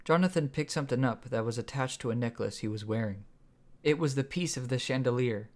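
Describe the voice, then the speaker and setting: A man's voice: medium pitch, fairly quick. A man reading his own story as scripted audiobook narration.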